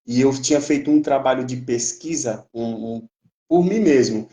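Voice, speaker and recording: A man in his thirties from Brazil, telling his story as a capoeira instructor on a video call, pausing and restarting often. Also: medium pitch, warm tone. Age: 30s. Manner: hesitant, many fillers and restarts, uneven pace. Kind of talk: live-stream interview.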